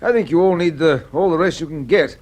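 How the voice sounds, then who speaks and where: A man's voice: fairly high pitch, brisk, a little mellow, natural voice. An actor with a British accent playing a composed, businesslike male space mission commander in an old-time radio drama. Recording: slightly noisy vintage radio recording.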